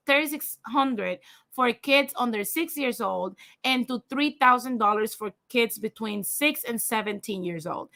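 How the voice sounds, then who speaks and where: Young woman host, Spanish accent, high-ish and gravelly, blunt and profane live commentary, addresses viewers directly. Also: sharp tone. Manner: profane, fired-up, talks straight to viewers. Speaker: livestream host. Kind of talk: blunt opinion commentary, reading news aloud.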